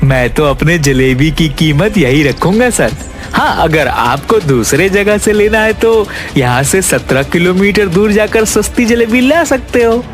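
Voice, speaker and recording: A man acts out a greedy, argumentative sweet-seller in a cartoon moral tale. His voice sits high for a man and swings widely in pitch, with music behind it. He is brusque and will not budge. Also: lively intonation, measured pace. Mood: smug, stubborn. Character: greedy stubborn male village sweet-seller, gruff father. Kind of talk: Hindi cartoon moral-story voice acting.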